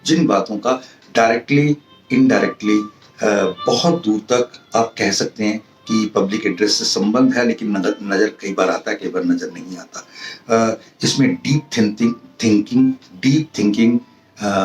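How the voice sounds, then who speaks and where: Man lecturing earnestly in an echoey room. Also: lowish voice. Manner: earnest, didactic, halting with pauses and repeated phrases. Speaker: professor giving a motivational talk. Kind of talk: motivational public-speaking lecture, mixing in English terms. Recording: roomy, distant mic, faint music behind.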